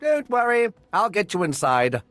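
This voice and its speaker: An actor playing a giddy, scholarly tomb-raiding archaeologist in a video game, effusive and theatrical in manner. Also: male voice, high voice, very animated, moderate pace, fairly bright tone, no room sound. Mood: eager excitement. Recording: music behind the voice.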